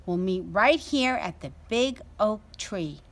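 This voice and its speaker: A woman's voice: mid-range voice, expressive, takes it slowly. A woman voicing a boastful, overconfident male hare in a children's storybook, with a smug, breezy manner. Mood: challenging.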